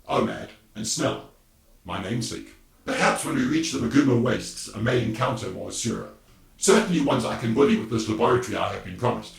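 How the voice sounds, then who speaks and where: Man voicing a pompous robot golem, creaky and echoey. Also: medium pitch. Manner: pompous, stilted, precise diction. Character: formal, boastful robot golem, dryly superior. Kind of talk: scripted audio drama acting. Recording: echoey, distant, music behind.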